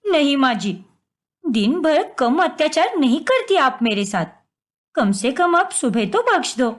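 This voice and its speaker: A scheming young daughter-in-law from a family storybook tale, played by a woman. Her high voice is very animated. She whines and complains with deceitful, dramatic flair. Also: unhurried. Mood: whiny.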